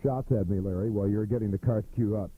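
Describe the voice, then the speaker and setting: A man with a fairly low voice ad-libs comic radio banter, hesitating as he goes. The 1980s recording is muffled and narrow, with faint noise. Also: fairly even intonation, fast talker. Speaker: radio show personality. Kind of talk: improvised on-air comic banter.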